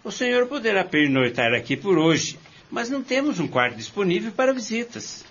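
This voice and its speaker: A man acting a formal, higher-voiced householder. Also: big pitch swings, normal speed, a bit bright. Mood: reserved, conceding. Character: reserved, formal male householder receiving a stranger. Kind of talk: radio drama acting. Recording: dull, noisy lo-fi recording.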